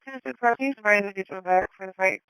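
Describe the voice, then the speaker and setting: A woman's voice: medium pitch, fast talker, background noise. A woman working as an emergency dispatcher, giving incident details over two-way radio in a clipped, matter-of-fact way. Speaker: police and EMS radio dispatcher. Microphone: dispatch radio microphone. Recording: close dry police scanner radio audio.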